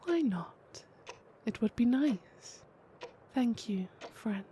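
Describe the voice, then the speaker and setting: An actress playing a calm, kindly, mysterious stranger who brings death, speaking softly and gently in an audio drama. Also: female voice, fairly high pitch, a little hiss or hum. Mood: gentle, accepting. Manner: soft, measured, gentle. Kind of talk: audio drama character acting.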